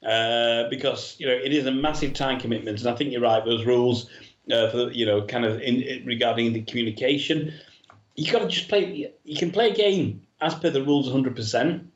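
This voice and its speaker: A man's voice: medium pitch, fairly quick. A man chatting as a guest over a remote call, talking earnestly and rambling with fillers. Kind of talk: casual chat. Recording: dull, roomy video call audio.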